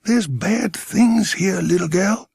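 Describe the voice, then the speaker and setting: Man voicing a hoarse, urgent American fugitive. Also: high-pitched, slow, a little mellow, no echo, clean studio sound, voice pushed up, full character voice. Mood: urgent warning. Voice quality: hoarse, whispery. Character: frightened American man in hiding, warning children.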